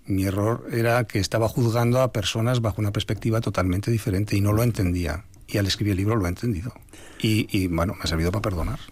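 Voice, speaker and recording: An older Spanish man, an author being interviewed, talking in a reflective, measured way with some hesitations. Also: lowish voice, fairly even intonation, a little mellow. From Spain. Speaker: author guest. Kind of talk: radio interview.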